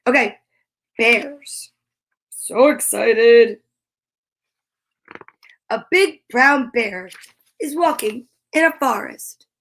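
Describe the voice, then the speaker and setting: A woman's voice: fairly high pitch, drawn-out words, long pauses, fairly bright tone, slight background noise. A woman hosting a live children's story time, reading aloud and chatting to viewers with playful, encouraging exclamations. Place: at home.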